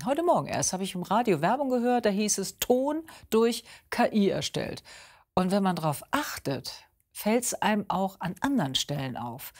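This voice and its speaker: Woman, animated intonation, presenter, chatty scripted introduction. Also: medium pitch.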